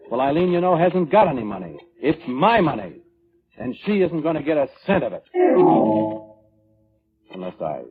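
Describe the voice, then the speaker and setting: A man's voice: mid-range voice, lightly acted. An actor playing an indignant, self-righteous, controlling man giving testimony in a 1940s radio drama, blustering and defensive with hesitant restarts. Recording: narrow, tinny old radio broadcast with music.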